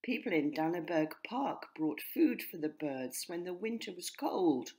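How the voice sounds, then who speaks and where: Expressive, slow-paced woman storyteller. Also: on the low side. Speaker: author reading her own story. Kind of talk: scripted children's story narration.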